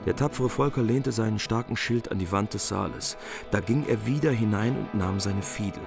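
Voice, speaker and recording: A man's voice: on the low side, moderate pace, creaky. A man delivering scripted literary narration in a radio play. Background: music.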